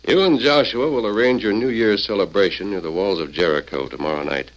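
A very deep male voice in old radio western style. An actor plays the resolute hero and leader, calm, measured and authoritative as he hands out instructions. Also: lightly acted, rough recording.

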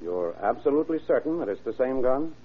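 An actor playing a shrewd, skeptical detective lieutenant in a clipped, hard-boiled old radio drama style. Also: male voice, medium pitch, animated, quick pace, phone-quality sound, a little hiss or hum, natural voice. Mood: probing. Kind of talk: vintage radio crime drama acting.

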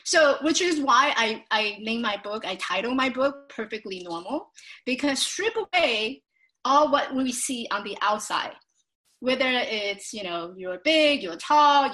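Woman, very bright tone, somewhat high pitch, earnest personal storytelling. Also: rough recording. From Taiwan, moved to the United States at fifteen. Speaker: podcast guest, author.

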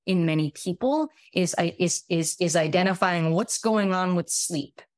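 A woman in her thirties with a slightly raspy voice talks through ideas on a podcast, circling back with fillers and restarts as she explains. Her voice sits on the low side, recorded close and dry. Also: normal speed, no echo. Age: 30s. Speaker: guest physician. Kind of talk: casual podcast conversation.

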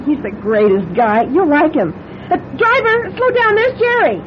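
High-pitched, flustered young woman. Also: big pitch swings, a little slow. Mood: excited. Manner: earnest, flustered. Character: anxious, naive young runaway bride-to-be. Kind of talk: radio drama acting. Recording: dull, noisy old radio recording, vehicle rumble.

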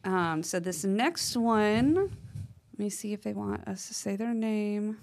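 A woman co-hosting a podcast talks in a relaxed, chatty way, sprinkling in "like" and "um". She reads listener mail aloud with lively emphasis and keeps an everyday, mid-height voice. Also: mid-range voice, takes it slowly, vocal fry. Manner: chatty, uses fillers like "like" and "um". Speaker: podcast co-host.

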